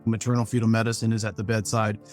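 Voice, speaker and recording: A man's voice: on the low side, little pitch movement, fast, darkish tone, soft background music. A man speaking as a physician on a panel over a video call, talking in long, reflective sentences. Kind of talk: measured professional talk.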